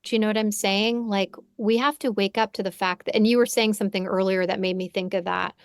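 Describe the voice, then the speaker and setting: A woman's voice: medium pitch, steady tone, a little fast, smooth, no room sound. Woman, forties, therapist host, reflective and searching, dry close home sound. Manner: thoughtful, searching, frequent restarts and "right?". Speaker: podcast host and psychotherapist. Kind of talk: reflective conversational interview. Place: home room. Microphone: headphones on a video call.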